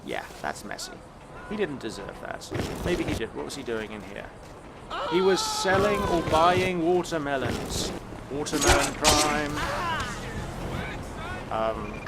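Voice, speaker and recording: A man giving casual gameplay commentary, with dry, wry asides that turn loud and excited when the action heats up. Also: medium pitch, heavy background noise. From South Africa. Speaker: gaming YouTuber.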